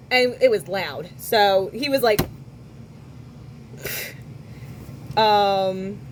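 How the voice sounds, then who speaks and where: Hoarse young woman chatting casually. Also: medium pitch, slight background noise. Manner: chatty, with quick asides and self-corrections. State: hoarse from concert singing. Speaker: podcast host. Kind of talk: casual chat recounting a concert.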